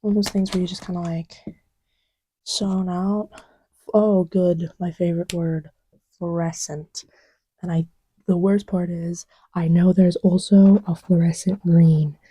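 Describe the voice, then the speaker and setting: A woman playing an easygoing girlfriend in a soft ASMR roleplay, rambling hesitantly in a breathy murmur that often drops to a whisper. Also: on the low side, flat delivery, a little slow, no echo. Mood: relaxed, musing. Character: easygoing girlfriend chatting idly while testing markers.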